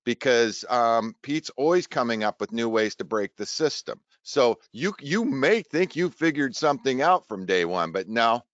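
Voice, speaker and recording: A man's voice: mid-range voice, lively intonation, moderate pace, few pauses, fairly bright tone, dry recording, dull audio. A man co-hosting a webinar in a casual way over a video call. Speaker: webinar co-host.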